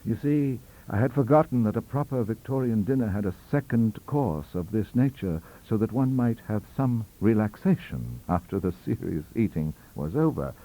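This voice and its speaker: Man reading a tale, animated and wry. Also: lowish voice, normal speed, few pauses, poor audio. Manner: theatrical, wry, richly varied. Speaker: audiobook reader. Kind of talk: expressive storybook narration.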